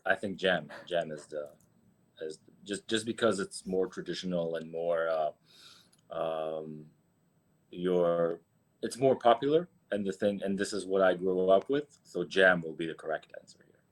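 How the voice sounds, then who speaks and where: Very deep-voiced Israeli man. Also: a little slow. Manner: hesitant, many fillers and restarts. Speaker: guest, agency founder. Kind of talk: casual interview.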